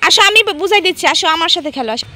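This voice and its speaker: A woman's voice: very high voice, animated, racing, noisy background. A young woman, a mother chatting casually with small children and narrating a family vlog, coaxing them with simple questions and short repeated phrases. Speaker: mother filming her young daughters.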